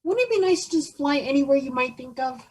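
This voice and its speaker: An earnest, eager little boy in a high voice. The performance is made for a children's story. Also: expressive, a little fast, darkish tone.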